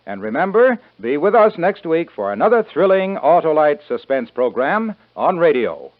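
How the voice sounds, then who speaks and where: A man's voice: on the high side, big pitch swings, normal speed. An American man reading a 1950s radio sponsor message as a polished, formal announcer. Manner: polished, formal salesman delivery. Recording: tinny vintage radio recording.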